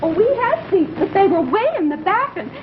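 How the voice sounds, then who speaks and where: Chirpy, very high-voiced old lady fan. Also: big pitch swings, brisk. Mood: chatty, matter-of-fact. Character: starstruck elderly woman, chatty fan club secretary. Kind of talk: 1950s television sketch comedy acting. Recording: muffled 1950s TV soundtrack, faint hiss.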